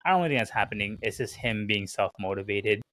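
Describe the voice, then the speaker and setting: Low-voiced man co-hosting a sports podcast. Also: animated, a little fast, pushed and bright, no echo. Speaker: podcast co-host. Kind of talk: casual sports chat.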